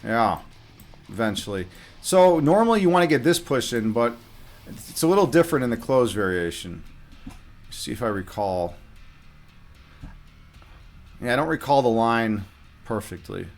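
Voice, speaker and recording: Male game streamer, medium pitch, casual think-aloud commentary with muttered fragments, music playing behind. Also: sing-song, moderate pace, long pauses. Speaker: live chess streamer.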